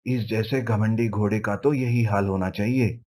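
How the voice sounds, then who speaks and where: A man voicing an earnest, plain-spoken turtle in an animated moral story. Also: on the low side, normal speed, close to an everyday voice. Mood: disapproving. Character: slow pond turtle, friend of the cat.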